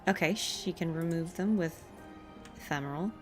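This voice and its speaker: A woman's voice: low voice, normal speed. A woman game streamer giving casual live commentary, musing and thinking aloud as she plays. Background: game music.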